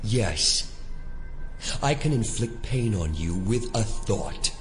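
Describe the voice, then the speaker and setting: Audio drama villain: controlling, threatening elder, deep voice, long reverb, faint music beneath. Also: male voice, lively intonation. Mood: menacing. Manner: imperious, deliberate, threatening. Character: menacing, controlling male Prime Elder, coldly commanding maker.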